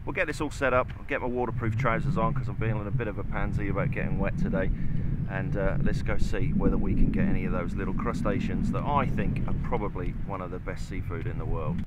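A man's voice: deep voice, steady tone, fast talker, few pauses. A man in his forties with a Suffolk English accent, chatting casually to an action camera outdoors on a beach, rambling and self-deprecating. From England. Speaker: outdoor vlogger. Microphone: action camera at arm's length. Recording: wind noise on the mic.

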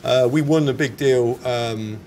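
A man's voice: mid-range voice, a little slow, a little mellow. A man in his forties with an English accent, chatting casually and correcting himself as he answers as an interviewed business founder. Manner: hesitant, chatty, self-correcting. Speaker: snack company founder being interviewed. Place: indoors in a bar. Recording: clear background ambience noise.

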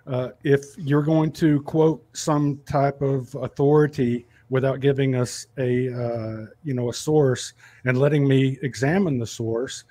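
A man's voice: medium pitch, steady tone, measured pace, hardly any pauses, warm tone. A man in his fifties, a farmer in a heated video-call debate, combative and talking over his opponent. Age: 50s. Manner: combative, talks over the other speaker.

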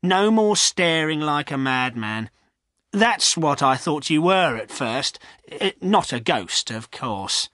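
A man's voice: a little high, sing-song, takes it slowly, fairly bright tone, no echo, clean studio sound, voice pushed up, full character voice. A man voicing a blunt, scornful village farrier in a storybook, stating things dogmatically. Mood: gruff relief. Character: scornful, matter-of-fact village farrier, male.

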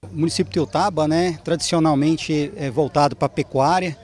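A man's voice: fairly high pitch, steady tone, fairly quick, darkish tone. A man explaining in an interview outdoors at a cattle fair, with frequent fillers. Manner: explanatory, filler-heavy talk. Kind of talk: interview answer.

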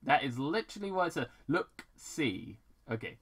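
A person reading fan fiction aloud casually, halting and repeating words, with off-script commentary. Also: mid-range voice, sing-song, husky.

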